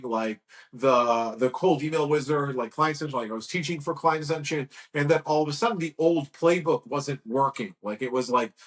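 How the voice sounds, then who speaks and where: A man in his thirties, a guest in a casual interview, talking in a frank, rambling way and telling lively stories. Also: fairly high pitch. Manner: rambling, frank, lively storytelling with acted quotes. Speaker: guest, agency owner.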